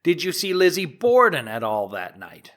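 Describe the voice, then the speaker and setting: A courtroom lawyer, voiced by a man who questions a witness step by step. He speaks in a lively, rising-and-falling voice set a little high, with a measured, deliberate manner. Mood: questioning. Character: male prosecuting lawyer, methodical questioner. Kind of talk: dramatic reading of a trial transcript.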